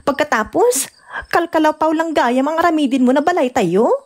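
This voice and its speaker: A woman playing a young, caring but complaining provincial wife in an expressive radio drama. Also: high voice, normal speed. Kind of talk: Ilocano radio drama acting.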